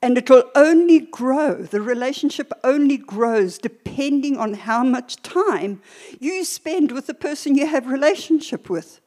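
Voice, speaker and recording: An older woman preacher teaching a church sermon in a deliberate, emphatic way, with short pauses and repeated phrases. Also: sits high, animated, darkish tone.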